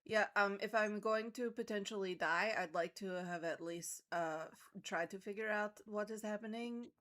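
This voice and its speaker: A woman's voice: medium pitch, normal speed, a bit bright. A woman playing a tabletop roleplaying game, improvising her character's dialogue in a dry, matter-of-fact, casual way.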